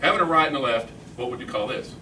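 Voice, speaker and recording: A man's voice: medium pitch, expressive, quick pace. A man doing a magic act live on stage, talking his way through it in casual, teasing, improvised patter. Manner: joking, teasing. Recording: echoey, noisy live room, distant mic.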